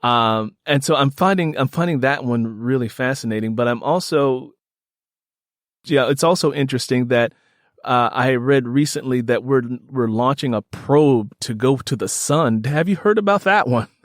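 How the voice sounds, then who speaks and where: A man's voice: mid-range voice, moderate pace, dry recording, clean studio sound. A man hosting a podcast interview in an enthusiastic, conversational way, emphatic and given to repeating words for effect.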